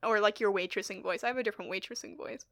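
A woman's voice: medium pitch, racing, gravelly, no room sound, clean studio sound. A young woman co-hosting a podcast in casual chat, laughing often as she talks. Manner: giggly, laughs often, animated. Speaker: podcast co-host.

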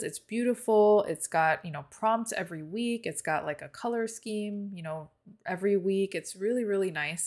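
A woman co-hosting a podcast, whose first language is Korean, talks in a slightly low voice. She chats in a relaxed, easygoing way, dotting her sentences with "you know" and "um". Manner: relaxed, chatty, many fillers. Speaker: podcast co-host. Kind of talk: casual chat.

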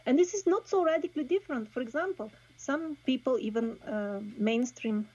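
A woman from Bulgaria, a guest speaking in a thoughtful, halting way with many pauses and restarts. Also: sits high, expressive, a little mellow, husky, highs cut off. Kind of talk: casual interview talk.

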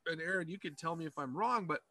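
A man's voice: a little high, fast talker, sharp tone, no room sound. A man hosting a casual interview, rambling and joking at himself. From Scotland. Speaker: host.

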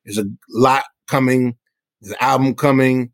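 Man, hip hop artist from the South Bronx, mid-pitched, casual interview talk, very dry recording. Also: steady tone, moderate pace, no room sound. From South Bronx, New York.

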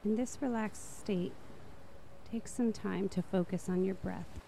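A woman narrating a guided meditation in a soft, slow, soothing manner. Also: medium pitch, vocal fry, faint music behind.

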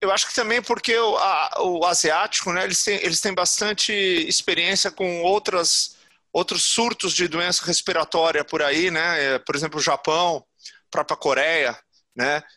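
A man's voice: high-pitched, fairly even intonation, moderate pace, bright, forceful tone, no echo. A Brazilian man hosting a podcast interview, often affirming his guest and ending phrases with tag questions. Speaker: podcast host.

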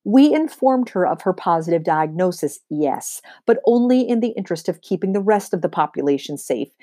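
Lady reading as a know-it-all schoolboy in a kids book, earnest and formal, mellow dry sound. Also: lowish voice, animated, normal speed, no room sound. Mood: self-justifying.

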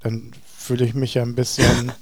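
A man's voice: mid-range voice, monotone, moderate pace, creaky, no room sound. A man co-hosting a podcast in casual, teasing conversation. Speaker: podcast co-host. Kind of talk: casual chat.

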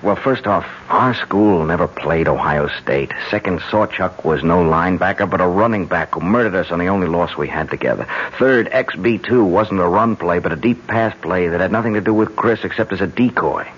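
An actor playing an earnest, suspicious husband and loyal friend, plain-spoken and insistent, in a radio drama. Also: male voice, low-pitched, fairly quick, few pauses, natural voice. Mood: urgent conviction. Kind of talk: old-time radio drama acting. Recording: dull, hissy old radio broadcast.

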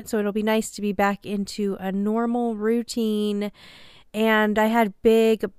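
Chatty host with a slightly stuffy nose. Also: female voice, mid-range voice, clear, resonant tone, no room sound, clean studio sound. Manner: upbeat, chatty, self-deprecating. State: slightly nasal from a cold. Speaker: podcast host. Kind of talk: casual podcast chat.

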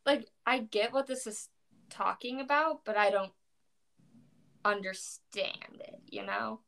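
A young woman talks through poems in a loose, rambling way, full of "like" and restarts. Her voice has a gravelly creak, and she sounds worn out after a long day. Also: a little high, slow pace, a bit bright. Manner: rambling, many fillers, self-corrections. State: tired. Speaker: co-host discussing poetry. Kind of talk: casual chat.

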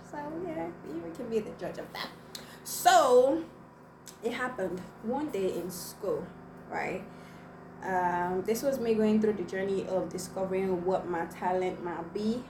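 A young woman whose first language is Igbo, chatting to viewers as she tells a personal story with many fillers. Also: mid-range voice. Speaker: vlogger. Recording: echoey room, mic at a distance, noisy.

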